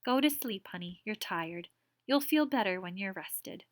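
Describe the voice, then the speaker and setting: A woman voicing a gentle elderly mother who speaks slowly and soothingly. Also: medium pitch, animated, no echo, clean studio sound, close to an everyday voice. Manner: slow, soothing.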